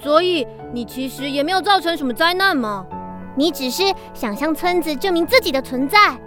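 A woman's voice: high-pitched, lively intonation, normal speed, bright, forceful tone, soft background music. A woman with a Taiwanese Mandarin accent playing a sweet, coy young schoolgirl in a children's radio drama, bubbly and coaxing. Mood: consoling.

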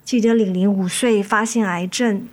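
一位女演员在广播剧中饰演操心又疲惫、爱叮咛的母亲。. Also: female voice, medium pitch, fairly even intonation, normal speed, a little mellow, natural voice. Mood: sorrowful. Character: worried, weary, nagging mother of two daughters. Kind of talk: radio drama acting.

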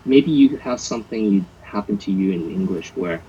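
An American man joins a podcast as a guest, thinking aloud hesitantly with lots of fillers. His voice is very deep and strongly husky, though it swings widely in pitch. The sound comes through a dull, muffled lo-fi line. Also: lively intonation, normal speed, warm tone. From United States. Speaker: guest, language learner. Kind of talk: casual conversational chat.

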